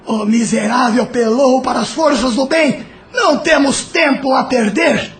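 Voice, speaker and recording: A man's voice: very high-pitched, unhurried, a bit bright, soft background music. A man playing a malevolent, panicked male spirit in an audio drama. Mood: frantic, angry.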